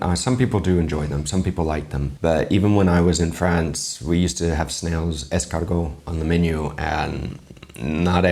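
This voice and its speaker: Very low-voiced man chef commenting. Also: a little mellow. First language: English. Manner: chatty, explains at length. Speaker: chef reviewing cooking videos. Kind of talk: casual video commentary. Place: lives in Spain.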